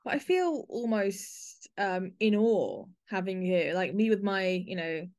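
A woman's voice: mid-range voice, lively intonation, unhurried, darkish tone. Woman hosting a theatre podcast. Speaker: podcast host. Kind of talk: podcast interview and introduction.

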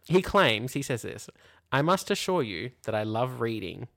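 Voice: mid-range voice, big pitch swings, normal speed, creaky, fairly bright tone, slight background noise. An Australian podcast co-host chatting casually and comedically, reading aloud with mocking, incredulous commentary. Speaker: junior primary school teacher.

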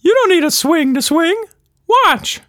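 A man's voice: extremely high pitch, big pitch swings, unhurried, a bit bright, no room sound, clean studio sound, pitched above the performer's own voice, exaggerated voice. A man voicing a cheerful, playful, stretchy pink creature in a bubbly, exclaiming way. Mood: gleeful.

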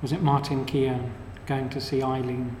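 A man's voice: medium pitch, level tone, moderate pace, darkish tone, slight background noise. A man, co-hosting a casual chat and voicing his views hesitantly, with many restarts. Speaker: podcast co-host. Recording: echoey room with long reverb.

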